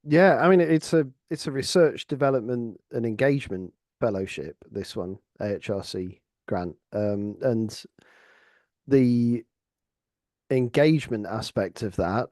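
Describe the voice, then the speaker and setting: Mellow middle-aged Englishman, dry close sound. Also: fairly low pitch, dry recording. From Lincolnshire, England. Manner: earnest, halting, repeats words. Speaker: academic researcher and co-host. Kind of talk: reflective conversational discussion.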